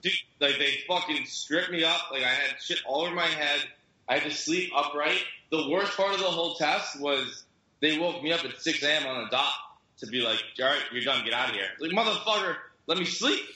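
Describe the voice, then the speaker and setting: A man's voice: on the high side, a little fast, sharp tone. Nasal, profane young man in a roomy space. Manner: rambling, profane, jokey storytelling. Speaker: podcast co-host. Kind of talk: casual chat.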